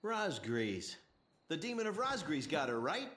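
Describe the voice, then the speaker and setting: An actor playing a friendly young pilot, chatting casually in a video game scene. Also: male voice, fairly high pitch, big pitch swings, unhurried, a bit bright. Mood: curious, nostalgic. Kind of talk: casual scripted game dialogue.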